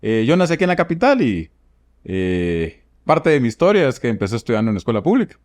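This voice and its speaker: Guatemalan man, surgeon guest; reflective, hesitant delivery, animated pitch, dry close-miked sound. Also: no echo. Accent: Guatemalan Spanish. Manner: reflective, measured, with frequent fillers. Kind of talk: podcast interview.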